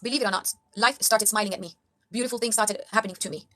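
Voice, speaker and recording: A woman's voice: mid-range voice, fast, bright, forceful tone. A young woman from Kenya giving an earnest motivational talk to camera, preaching life tips to her viewers and calling them guys. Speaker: life coach vlogger.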